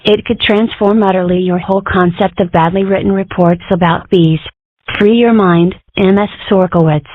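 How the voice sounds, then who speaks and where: A woman's voice: medium pitch, steady tone, normal speed, lightly acted. A woman voicing a precocious, argumentative, mischievous young schoolgirl in a comic strip dub, talking in a wordy, theatrical way. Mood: indignant, wordy. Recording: muffled lo-fi phone-like home recording.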